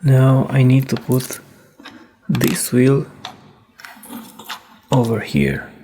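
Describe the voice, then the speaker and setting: A man narrating a hands-on repair in a casual, halting way, thinking aloud as he works. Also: medium pitch, fairly even intonation, mellow, a little hiss or hum. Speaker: host demonstrating a cassette repair.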